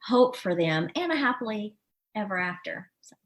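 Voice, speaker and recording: A woman's voice: mid-range voice, lively intonation, normal speed. A woman speaking as an interview guest, informal and conversational, thinking aloud as she answers. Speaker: novelist being interviewed as podcast guest.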